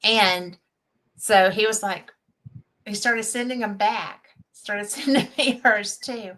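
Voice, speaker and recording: Brief: older Southern woman, slow, bright, folksy chatty host. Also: mid-range voice, low-quality recording. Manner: chatty, laughs easily, folksy sayings. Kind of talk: casual chat on a live stream.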